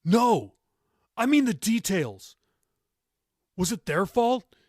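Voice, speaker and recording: An angry teenage boy from an audiobook, acted out by a man. He is impatient and demanding, pushing loudly in a raised pitch, with a very dry, close sound. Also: big pitch swings, slow, dry recording, exaggerated voice. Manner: loud, demanding, interrupting. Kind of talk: audiobook character dialogue.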